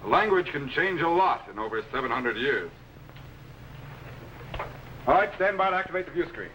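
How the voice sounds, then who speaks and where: 50s tv space captain, highish voice, clipped and bossy, noisy old soundtrack. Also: male voice, big pitch swings, normal speed, sharp tone. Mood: instructive, assured. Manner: clipped, authoritative, precise orders. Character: commanding, learned male captain of a time laboratory. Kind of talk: 1950s TV science fiction acting. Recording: noisy 1950s film soundtrack.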